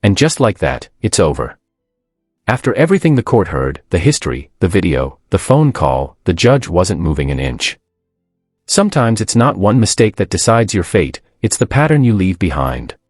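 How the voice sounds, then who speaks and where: A man's voice: low-pitched, big pitch swings, moderate pace, dry recording, studio quality, soft background music. A man delivering scripted true-crime narration as a voice-over, dramatic and portentous. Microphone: close, dry voice-over.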